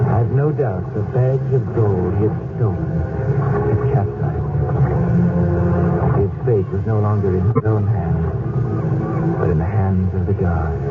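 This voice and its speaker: An actor playing Marcus, a steady and protective young Roman, narrating his story in measured tones in a 1970s radio drama. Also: fairly low pitch, lightly acted. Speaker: narrator and lead character. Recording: tinny lo-fi radio broadcast with loud hiss.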